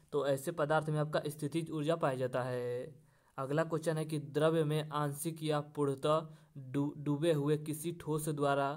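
Higher-voiced man, very even tone. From India. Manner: formulaic, repetitive question-then-answer delivery. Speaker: exam-prep teacher. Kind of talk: instructional lesson, reading out questions and answers.

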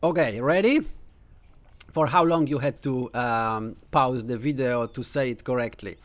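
A man in his thirties with a Polish accent, a native Polish speaker, casually commentating a chess game to camera from a room at home. He talks unhurriedly with lots of "uh" fillers and playful asides. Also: medium pitch. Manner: unhurried, thinking aloud with many uh fillers, playful teasing asides. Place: home room with bookshelves, indoors.

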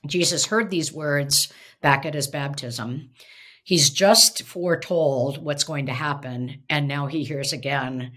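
A woman's voice: low-pitched, slow pace, a bit bright. A middle-aged woman, a professor, thinking aloud reflectively in a casual theological discussion, recorded over a video call from a home office. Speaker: professor and podcast co-host. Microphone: video call webcam.

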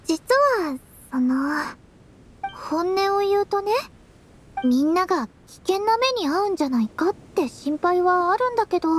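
Sweet shy anime teen girl, really high voice, swoopy, game dialogue. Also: heavily acted. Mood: bashful. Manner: gentle, girlish, slightly shy. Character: sweet, earnest teenage girl priestess. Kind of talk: Japanese anime game voice acting. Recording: studio voice over game music.

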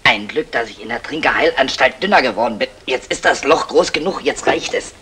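A man playing a smooth-talking crook with a wordy, florid manner in a retro German radio crime play. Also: mid-range voice, expressive, fairly quick, background noise, close to an everyday voice. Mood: gruff, determined. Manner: effusive, florid, wordy politeness. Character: smooth-talking fast-bluffing male crook posing as soap salesman. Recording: retro radio play, faint music behind.

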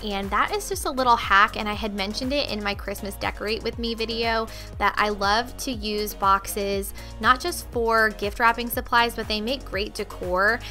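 A woman's voice: medium pitch, fairly even intonation, moderate pace, few pauses, pushed and bright, soft background music. A young woman narrating her cleaning vlog in a friendly, chatty voice-over, talking straight to her viewers. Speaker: cleaning vlogger.

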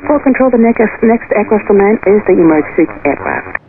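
A woman's voice: mid-range voice, animated, brisk. A woman working as a tower air traffic controller, giving clipped instructions over aviation radio. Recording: tinny, narrow aviation radio.

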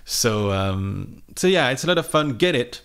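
A man's voice: medium pitch, sing-song, measured pace, fairly bright tone, smooth. A man in his thirties chatting casually and unscripted, like a music vlogger talking to camera from his home studio. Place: small home studio room indoors. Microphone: microphone on a boom arm, close.

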